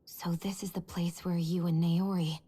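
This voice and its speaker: A woman's voice: fairly low pitch, little pitch movement, moderate pace, dark tone, breathy edge. A woman playing a curious, earnest party member in dramatic video game dialogue. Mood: curious, wondering.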